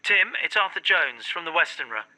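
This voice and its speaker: Pressured male official, evasive, firm, businesslike, sharp forward tone, slightly high pitch, audio drama. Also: expressive, brisk, rough recording. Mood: guarded, reassuring. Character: harried, evasive organization official on the phone. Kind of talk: horror audio drama acting.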